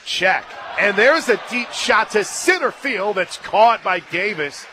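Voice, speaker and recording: A man doing live radio play-by-play of softball, conversational between pitches and excited on the action. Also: slow pace, noisy background. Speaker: play-by-play announcer. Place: radio booth at an outdoor ballpark. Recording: crowd and stadium music behind. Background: crowd, loudspeaker music.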